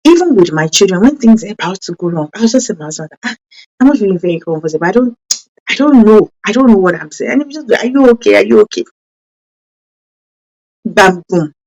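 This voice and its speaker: A woman's voice: lively intonation, brisk, pauses often, darkish tone, lo-fi sound. Earnest woman, Yoruba-speaking. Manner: earnest, self-correcting, with sudden rises in pitch for emphasis. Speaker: parenting channel host.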